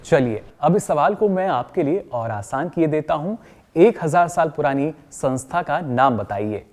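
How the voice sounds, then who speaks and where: An encouraging male trainer played by an actor leads a workshop. His pitch moves in a lively way as he patiently explains points and puts questions to the group, in the manner of a training film. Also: unhurried. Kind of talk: scripted training-session lecture with questions to the group.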